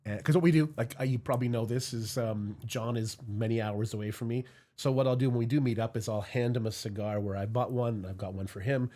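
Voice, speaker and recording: A man's voice: medium pitch, fairly even intonation, fast, dark tone. Congested male host, chatty and rambling, casual livestream delivery. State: congested from a cold. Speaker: cigar review host.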